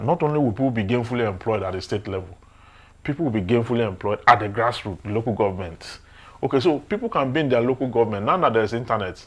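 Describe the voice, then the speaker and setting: A man from Nigeria with a Nigerian accent, discussing current affairs emphatically and repeating phrases for stress. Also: on the low side. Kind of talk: opinionated discussion.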